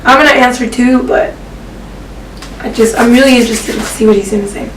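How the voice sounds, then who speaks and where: A young woman from Peru hosting a casual question and answer vlog, talking in a relaxed way with many fillers. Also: on the high side, brisk, roomy sound, far from the mic, a little hiss or hum, low-quality recording. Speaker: vlogger co-host. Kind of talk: casual Q and A chat.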